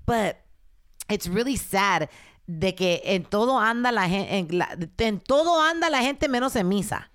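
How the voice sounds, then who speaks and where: A woman with a raspy voice co-hosting a podcast, chatting bluntly and candidly and slipping into Spanish now and then. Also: medium pitch, expressive, normal speed, no echo, clean studio sound. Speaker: podcast co-host. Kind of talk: casual chat.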